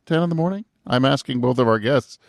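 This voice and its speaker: A man's voice: medium pitch, quick pace, vocal fry, no echo, clean studio sound. A man co-hosting a podcast in casual chat, teasing and asking pointed questions. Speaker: podcast co-host.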